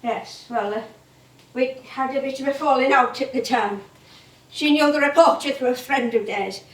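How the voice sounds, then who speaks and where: An actress playing an older music hall performer on stage, chatty and hesitant, eager and a little wistful. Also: female voice, high-pitched, normal speed, natural voice. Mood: subdued, guarded. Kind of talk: theatre acting with music hall singing. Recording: roomy theatre stage, distant microphone.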